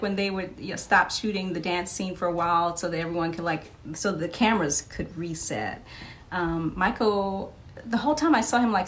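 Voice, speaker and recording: Laughing, animated woman telling stories. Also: mid-range voice, a little hiss or hum. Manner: animated, laughs often, many fillers. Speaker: podcast guest, memoir author. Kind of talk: casual interview storytelling.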